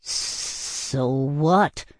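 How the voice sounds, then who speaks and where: A man's voice: fairly high pitch, lively intonation, unhurried, a little mellow, no echo, low-quality recording. A man voicing a cheeky, tipsy drunkard who is indignant and argumentative, in an audiobook style. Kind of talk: audiobook character acting.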